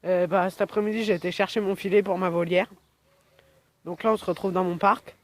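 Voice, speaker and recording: High-voiced young man with a cold. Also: steady tone, brisk, no room sound. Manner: rambling, many fillers. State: has a cold. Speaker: vlogger. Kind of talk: casual outdoor vlog. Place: outdoors in a rural yard. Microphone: phone camera.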